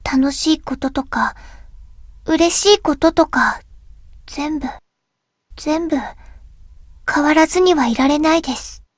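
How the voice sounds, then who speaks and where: A woman voicing a shy, wistful teenage schoolgirl in a visual novel, with a soft girlish voice and polite, hesitant phrasing. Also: high-pitched, moderate pace, long pauses, no room sound, clean studio sound, lightly acted. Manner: polite, hesitant, speaking in short gentle phrases. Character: shy, wistful teenage schoolgirl, recently back from long absence. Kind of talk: Japanese visual novel voice acting.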